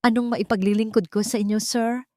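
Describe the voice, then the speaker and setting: Woman voicing a flustered army major, pitched up. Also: fairly even intonation, a little fast, dark tone, no room sound, clean studio sound, close to an everyday voice. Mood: nervous, deferential. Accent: Filipino. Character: proud male army major, flustered and protesting. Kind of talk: dramatic storybook character voice.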